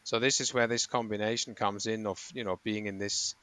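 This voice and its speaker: A middle-aged man from Switzerland talks through his work as a running coach in a relaxed interview. His voice is bright and sharply clear, recorded dry and close. He wanders and corrects himself mid-sentence as he explains. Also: on the low side, a little fast, no echo. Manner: rambling, self-correcting explanations with frequent ums. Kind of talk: conversational interview.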